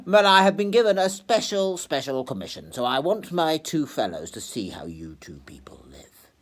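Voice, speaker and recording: A man playing a pompous, self-satisfied upper-class gentleman in a told story. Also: fairly high pitch, big pitch swings, moderate pace, pitched above the performer's own voice.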